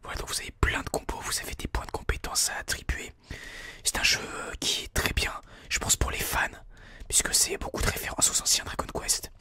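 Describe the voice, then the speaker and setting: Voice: fairly quick, pushed and bright, dry recording. A person whispering informal, hesitant gameplay commentary in an ASMR style, thinking aloud close to a binaural microphone. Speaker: video game streamer, ASMR host.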